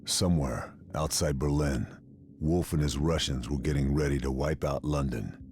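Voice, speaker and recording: An actor playing a hard-boiled army sniper, narrating a wartime game cutscene in a terse, grave, deadpan way. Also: male voice, very deep voice, normal speed, slight background noise. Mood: tense, resolute. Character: hard-boiled male WWII army sniper, grim and determined. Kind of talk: scripted first-person game cutscene narration.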